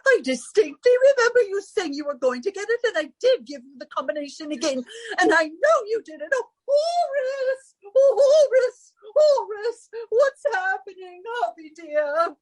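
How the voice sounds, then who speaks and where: Excitable rich wife, shrill, very high, animated, theatrical, vintage radio comedy. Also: female voice, normal speed, no echo, low-quality recording. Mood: distraught, accusing. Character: fussy, dramatic, excitable society hostess wife. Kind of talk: 1940s radio comedy acting.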